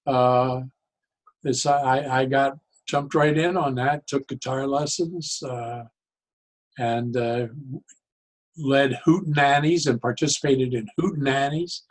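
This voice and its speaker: Male interview guest, sixties or older, medium pitch, casual and halting, thinking as he goes. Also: steady tone, low-quality recording. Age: 60s or older. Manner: halting, reflective, often pausing mid-sentence. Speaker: podcast guest, psychologist and humor speaker.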